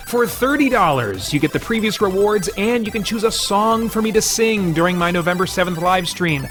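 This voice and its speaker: An American man in middle age with a fairly high voice talks as the host of an online channel. He sounds earnest and conversational, addressing his audience directly, with light music in the mix. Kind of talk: video commentary to camera, part casual musing, part fundraising pitch.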